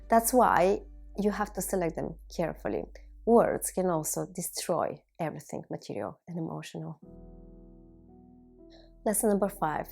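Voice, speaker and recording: Creaky-voiced woman in her thirties from Bulgaria. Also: on the low side, darkish tone. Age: 30s. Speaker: video blogger. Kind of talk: reflective personal monologue to viewers.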